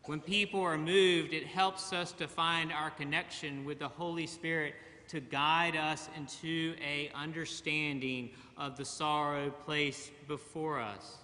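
Slow, bright-voiced preacher in a reverberant church. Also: male voice, fairly high pitch, fairly even intonation. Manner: slow, measured preaching with long pauses. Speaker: pastor. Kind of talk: sermon. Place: large church sanctuary, at a pulpit. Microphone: pulpit mic, far camera.

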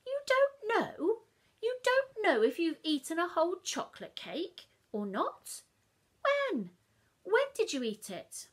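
A woman's voice: very high-pitched, wildly swooping pitch, slow, voice pushed up, full character voice. A woman voicing a stern mother who questions her son sharply and suspiciously. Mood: accusing.

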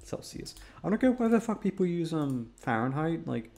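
A man's voice: fairly high pitch, very animated, normal speed, a little mellow, faint music behind. A man chatting casually as a host, rambling aimlessly and self-deprecatingly with plenty of swearing. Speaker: voice actor and channel host. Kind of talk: casual rambling outro chat.

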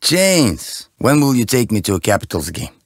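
An actor playing a blunt, sporty Russian gangster with a terse, plain-spoken manner. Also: male voice, mid-range voice, big pitch swings, normal speed, no echo, studio quality. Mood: matter-of-fact.

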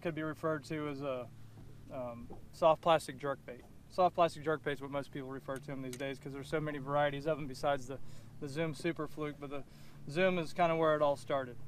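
A man in his thirties giving a casual how-to fishing lesson on a boat, talking in a relaxed, matter-of-fact way as he rigs the bait. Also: on the high side, fairly quick, a little hiss or hum. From Central Florida. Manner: relaxed, matter-of-fact, thinks aloud while rigging. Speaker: fishing instructor. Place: outdoors on a boat on a lake.

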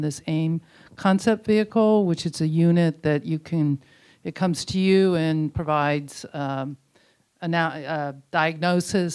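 Mellow-voiced woman moderating a panel. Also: sits low. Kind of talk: conversational moderating. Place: indoor auditorium panel before an audience. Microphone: handheld microphone.

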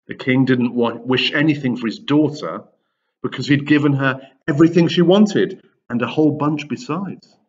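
Male storyteller, 50s, very animated pitch, unhurried delivery, reading for children with dramatic emphasis. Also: highs cut off. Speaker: storyteller reading a Greek myth for pupils. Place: large indoor room with high wooden ceiling.

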